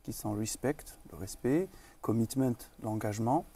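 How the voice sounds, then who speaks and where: Un homme d'âge moyen, originaire du Maroc, directeur d'école en entretien, parle de façon posée avec beaucoup d'hésitations. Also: male voice, medium pitch, expressive, warm tone, husky. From Morocco. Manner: measured, many pauses and restarts. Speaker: school director. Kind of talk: interview.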